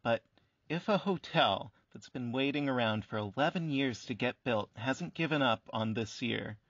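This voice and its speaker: A man's voice: medium pitch, animated, moderate pace, fairly bright tone, no echo, muffled recording. An actor in a radio play as a friendly, curious man conducting a conversational interview. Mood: curious, gentle.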